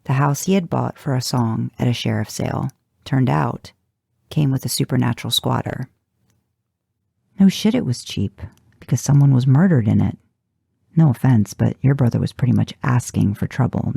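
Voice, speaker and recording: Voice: medium pitch, vocal fry, mellow, breathy edge, dry recording, studio quality. A narrator reading first-person fan fiction in an audiobook style, with a wry, intimate delivery. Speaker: fan fiction podcast reader.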